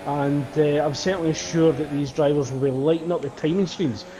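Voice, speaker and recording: A man giving play-by-play commentary on a sim race, calling lap times in a steady, measured way. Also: fairly high pitch, low-quality recording. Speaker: lead commentator.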